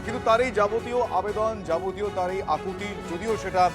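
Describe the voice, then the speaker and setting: A man's voice: high-pitched, fairly even intonation, normal speed. A man anchoring live news commentary, emphatic and repeating key phrases for weight. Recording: music bed behind voice. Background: news music.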